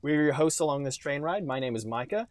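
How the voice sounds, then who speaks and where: A man's voice: a little high, lively intonation, fairly quick, no room sound, clean studio sound. A man hosting a comedy podcast in casual, joking banter. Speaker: podcast host.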